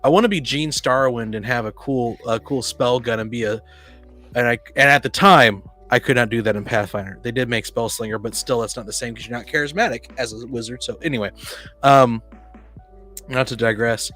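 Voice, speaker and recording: A man's voice: medium pitch, a bit bright, soft background music. A man chatting casually about his gaming experiences, rambling and often interrupting himself. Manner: rambling, halting, self-interrupting. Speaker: panel guest on a tabletop gaming podcast. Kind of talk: casual chat, personal anecdotes.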